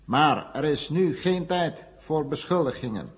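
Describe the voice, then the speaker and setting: A man playing a solemn official who makes a formal, deliberate public address in a Dutch radio play. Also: fairly high pitch, lively intonation. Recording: muffled 1970 radio recording, echoing hall.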